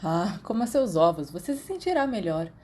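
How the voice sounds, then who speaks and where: A woman's voice: medium pitch, very animated, moderate pace, a little mellow. Woman doing a jaunty, teasing teenage schoolboy. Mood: cheerful. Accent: Brazilian. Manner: playful mock-scolding.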